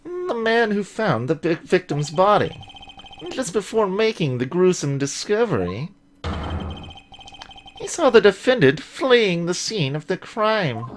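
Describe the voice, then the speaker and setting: A man's voice: high-pitched, sing-song, measured pace, a little hiss or hum. A man voicing a smug, needling prosecutor in a courtroom video game, formal and theatrical.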